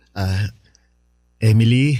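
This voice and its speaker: Shy young suitor, slow, expressive, faint music. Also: male voice, medium pitch, dry recording, clean studio sound, full character voice. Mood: tender. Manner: polite, bashful. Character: shy, sweet young man proposing. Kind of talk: radio drama acting.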